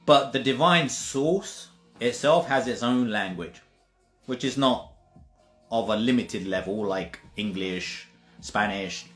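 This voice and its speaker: A man's voice: mid-range voice, takes it slowly, a bit bright. Emphatic man in an echoey room. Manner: emphatic, repetitive restarts, occasional swearing. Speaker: spiritual teacher. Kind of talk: casual spiritual monologue. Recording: roomy echo with faint music.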